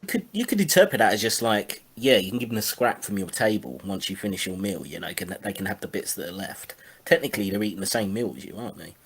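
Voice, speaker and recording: A man's voice: fairly low pitch, expressive, fast talker, hardly any pauses, fairly bright tone, breathy edge. A man giving casual, sarcastic commentary in a panel chat, with hesitant phrasing. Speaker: commentator on discussion show.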